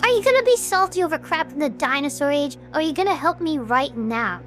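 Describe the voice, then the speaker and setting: A woman's voice: high voice, lively intonation, fast talker, fairly bright tone, faint music behind. A woman playing a hurt, combative young streamer in an audio drama, talking in a sarcastic, confrontational way. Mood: indignant.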